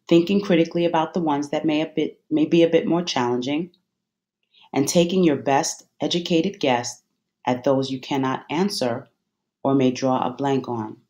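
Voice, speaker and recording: A meditation guide giving soothing, measured instructions with deliberate pauses between phrases. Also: mid-range voice, a little mellow.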